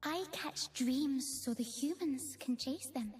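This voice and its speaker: A whimsical little female fawn spirit from a fantasy game, speaking extremely high and sweetly. She is timid and dreamy, taking her words slowly and gently over soft music. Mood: whimsical, gentle. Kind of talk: video game character voicelines.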